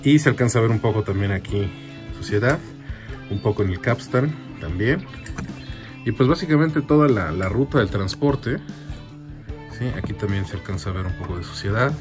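A man with a fairly low voice narrates a casual hands-on demonstration. He explains things conversationally, like a video host, with prominent music behind him. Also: expressive, moderate pace. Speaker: video host and audio gear collector.

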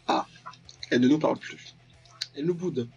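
A man's voice: a little high, moderate pace, darkish tone, husky. A man giving casual, joking gameplay commentary as the host of a gaming video. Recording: dull, lo-fi mic, music behind. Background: game music.

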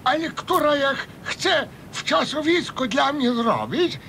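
An actor playing a cranky, stubborn old village man in a radio comedy serial, halting and querulous, with an eastern Polish Podlasie dialect. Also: animated, creaky, sharp tone, heavily acted. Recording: faint noise, low rumble.

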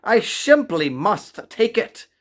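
A reader doing a gentle, eager old man. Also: mid-range voice, big pitch swings, measured pace. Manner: gentle, musing, with hesitant fillers.

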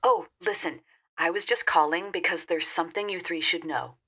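A woman's voice: on the low side, moderate pace, fairly bright tone, breathy edge. A woman playing a helpful, slightly old-fashioned informant in an audio fiction podcast, chatty and confiding.

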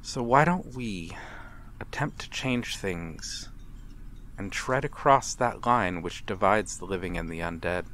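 A man playing a courteous, melancholy vampire in a dramatic ASMR roleplay, speaking formally and with measured pauses. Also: deep voice, animated, fairly bright tone. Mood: gentle, reassuring. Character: courteous, melancholy male vampire, lonely and mysterious. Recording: clear background ambient noise. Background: night harbor ambience.

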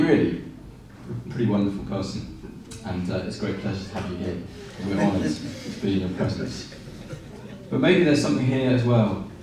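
A man in his forties preaching a sermon with animated gestures and frequent fillers and restarts. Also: on the low side, slight background noise. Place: small room with blinds, indoors. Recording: very echoey room, from a distance.

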